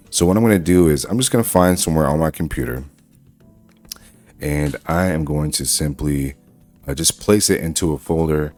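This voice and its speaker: A man hosting a casual software walkthrough, talking informally through each step as he goes. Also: rumbling low. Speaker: tutorial host.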